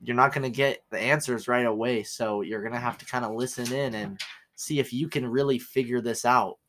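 A man's voice: medium pitch, steady tone, brisk, a bit bright, slight background noise. A young man co-hosting a casual podcast, easygoing and a bit flustered. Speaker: podcast co-host.

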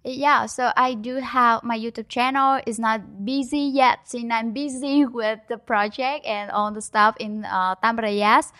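A woman's voice: on the high side, steady tone, a little slow, few pauses, a bit bright, no room sound. Young woman, first language vietnamese, lots of uhs and restarts, dry close sound. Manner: hesitant, many fillers and restarts. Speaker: guest. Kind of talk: casual interview.

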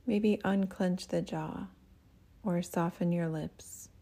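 Slow, mellow woman guiding meditation. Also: fairly low pitch, fairly even intonation. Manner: gentle, soothing, breathy phrase endings. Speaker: meditation teacher. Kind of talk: guided meditation.

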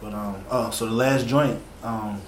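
A man's voice: fairly low pitch, steady tone, measured pace. A man in his thirties co-hosting a casual chat, loose and joking with a lot of swearing. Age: 30s. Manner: loose, joking, profane banter. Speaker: podcast co-host. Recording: roomy room, faint hiss.

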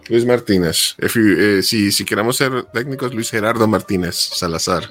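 A man's voice: on the low side, fairly quick, a bit bright, soft background music. A man in his forties telling his story casually as a podcast guest, slipping Spanish phrases into his English. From born in Colombia, raised between South America and the US. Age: 40s.

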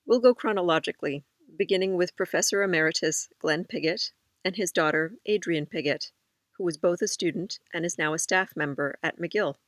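A host reading scripted narration in a clear, even manner between interview clips. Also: medium pitch, brisk, no echo.

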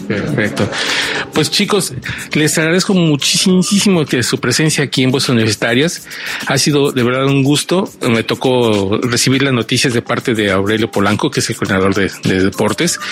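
A man with a Mexican accent hosting a conversational radio interview, wordy and halting as he corrects himself. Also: medium pitch, a bit bright. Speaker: radio show host.

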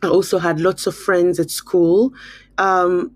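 Middle-aged woman, lowish voice, dry close recording. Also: little pitch movement, takes it slowly, no room sound. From Cameroon. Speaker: interview guest, school principal. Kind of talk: conversational interview, personal recollection.

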